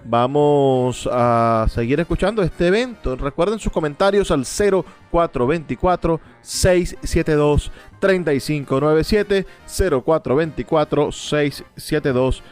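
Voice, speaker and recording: A man's voice: a little high, animated, measured pace, smooth. A man presenting on radio in a scripted announcer style. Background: music.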